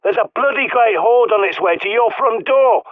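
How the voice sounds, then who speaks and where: An actor playing a gruff, bossy British survivalist who guides a player by radio, speaking bluntly and swearing freely. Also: male voice, high voice, moderate pace, no room sound. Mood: alarmed. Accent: British English. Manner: barking orders over a radio. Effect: radio filter. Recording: tinny dry radio-line sound.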